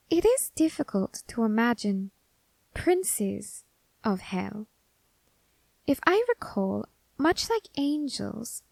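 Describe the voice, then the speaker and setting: A woman voicing a curious, androgynous grim reaper in an ASMR roleplay who questions a captive demon in a slow, teasing, softly whispered way. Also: mid-range voice, gravelly, no room sound, exaggerated voice.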